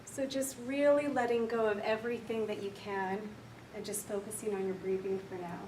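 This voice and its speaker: A woman counsellor with a quiet voice leading a slow, gentle guided meditation for a workshop audience. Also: medium pitch, steady tone, noisy background. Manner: soft, slow, gentle and unhurried. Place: large echoey room with an audience. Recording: echoey room recorded from far off.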